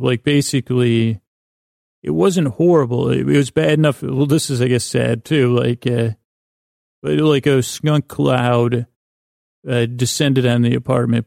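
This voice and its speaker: A middle-aged man hosting a sleep podcast, rambling hesitantly and often trailing off mid-thought in a gravelly voice. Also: mid-range voice, darkish tone, no echo, studio quality. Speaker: podcast host.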